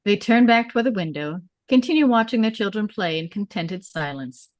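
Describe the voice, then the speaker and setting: A woman's voice: medium pitch, lively intonation, brisk, no room sound. A woman reading screenplay stage directions as scripted narration, in short clipped storytelling phrases.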